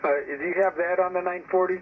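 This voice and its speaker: Tinny, higher-pitched man over noisy radio. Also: little pitch movement, fast talker. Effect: radio transmission. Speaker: amateur radio operator. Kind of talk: casual technical chat. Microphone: heard over a radio link. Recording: tinny narrowband radio with static noise.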